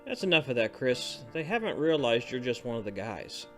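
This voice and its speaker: A man's voice: mid-range voice, very animated, normal speed, soft background music, natural voice. A man playing an easygoing, joking young student in an audio drama.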